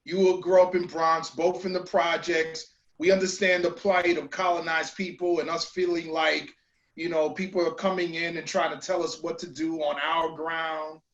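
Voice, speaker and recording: Male co-host, high voice, earnest, echoey lo-fi audio. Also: level tone, normal speed, fairly bright tone. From New York. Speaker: lawyer co-host. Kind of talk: talk show commentary. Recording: echoey dull remote audio.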